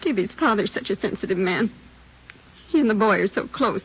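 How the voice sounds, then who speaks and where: A woman's voice: medium pitch, expressive, brisk, slightly rough. A woman playing a fretful, worried mother in a 1940s radio drama. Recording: muffled old 1940s radio recording.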